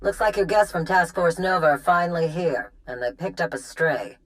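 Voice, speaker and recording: An actress playing a wry, businesslike military aide in a video game cutscene. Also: female voice, lowish voice, expressive, normal speed, close to an everyday voice, rough recording.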